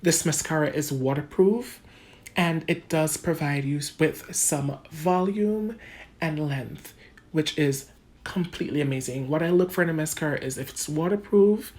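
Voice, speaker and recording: Low-voiced Jamaican woman narrating makeup. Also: measured pace, darkish tone. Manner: chatty, addresses viewers as guys, laughs often. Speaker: beauty vlogger. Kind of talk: casual makeup tutorial narration. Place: home room under bright lights.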